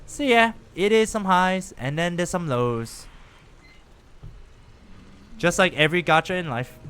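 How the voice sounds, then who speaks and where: A man chatting casually with viewers and reacting out loud while he plays a game on a live stream. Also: high voice, measured pace, smooth. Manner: chatty, animated, lively reactions while playing.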